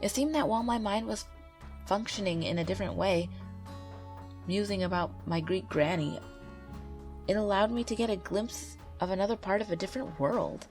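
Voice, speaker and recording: A woman with a stuffy nose from a cold, gently and earnestly reading true ghost stories aloud as the narrator of her channel. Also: mid-range voice, moderate pace. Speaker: YouTube ghost story narrator. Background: faint background music.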